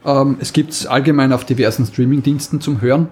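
Austrian man, mid-pitched, chatting casually. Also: fairly even intonation, a little fast, darkish tone. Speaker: podcast co-host. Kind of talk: casual podcast chat.

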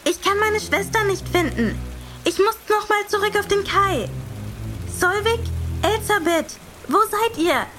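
A frightened young girl in a radio play, calling out in a very high, slow, drawn-out voice. Rain falls and music plays behind her. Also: animated, a bit bright, heavily acted. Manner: calling out anxiously. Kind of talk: radio drama acting.

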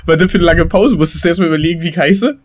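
A man co-hosting a casual comedy podcast in a brash, sweary way, often halting and repeating his words. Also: fairly high pitch. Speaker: podcast co-host.

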